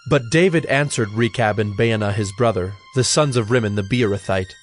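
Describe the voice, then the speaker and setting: A man narrating dramatized scripture from a script in a measured, formal manner. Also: lowish voice. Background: music.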